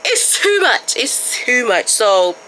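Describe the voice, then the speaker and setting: Young woman, solo podcast host, casual and chatty, slightly congested, crisp bright tone. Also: fairly high pitch, sing-song, slow, a little hiss or hum. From Baltimore area. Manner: chatty, loose and informal, addresses listeners as y'all. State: stuffed up from a starting cold.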